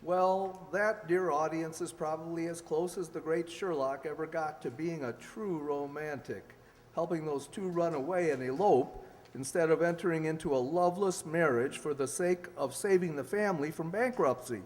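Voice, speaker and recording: An actor playing a genial host and announcer of an old-time sponsored radio show, formal yet chummy. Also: male voice, on the high side, normal speed, a little mellow, close to an everyday voice. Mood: genial, showy. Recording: echoey hall, faint noise.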